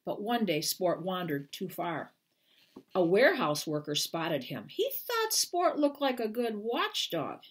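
Husky older woman reading a storybook aloud. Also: mid-range voice. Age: 60s or older. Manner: deliberate, expressive reading with brief explanatory asides. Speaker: children's book author reading aloud. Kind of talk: storytime read-aloud to camera. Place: small living room at home, indoors.

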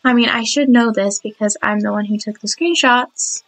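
Young woman narrating her build, a bit hoarse, chatty and giggly, higher voice. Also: normal speed, fairly bright tone. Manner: chatty, giggly, apologetic, many restarts. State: sore throat, voice cracking. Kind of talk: rambling game voiceover.

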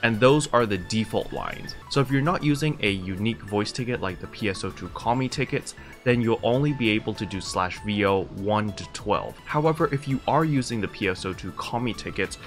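A man's voice: fairly low pitch, expressive, brisk, few pauses, faint music behind. A young adult man hosting a video game tutorial in a casual, conversational, step-by-step explaining style, recorded indoors at home. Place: small room at home, indoors.

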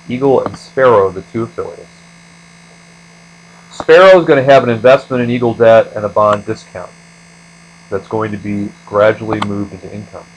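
A man's voice: mid-range voice, low-quality recording. A man giving a step-by-step screencast lecture as an instructor, explaining things methodically. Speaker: accounting instructor.

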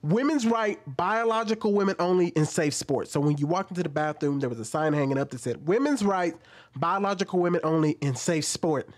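A man in his thirties hosting casual commentary at home into a studio mic, talking with animated, questioning emphasis. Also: fairly high pitch, a little fast. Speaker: commentary host. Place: small room at home, indoors. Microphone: studio mic on an arm, wearing headphones.

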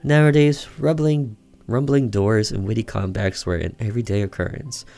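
A man reading a story aloud as expressive narration for a game, occasionally stumbling over the words. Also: lowish voice, moderate pace, vocal fry. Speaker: gaming streamer reading a visual novel aloud. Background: music under the voice.

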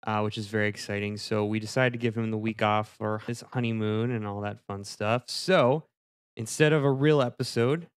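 A man's voice: on the low side, fairly even intonation, normal speed, clean tone, no echo, clean studio sound. A man hosting a podcast, introducing the show in a casual, conversational style. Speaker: podcast host.

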